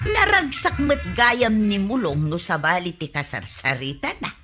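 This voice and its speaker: A woman's voice: mid-range voice, expressive, moderate pace, loud music behind the voice, natural voice. A woman with an Ilocano accent playing an observant, gossipy village woman in a comedy radio drama. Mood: complaining.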